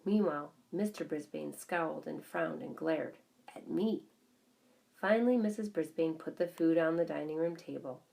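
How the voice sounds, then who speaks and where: A woman's voice: sits low, normal speed, gravelly, dark tone, poor audio. A woman reading a children's story aloud as scripted narration. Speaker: audiobook reader.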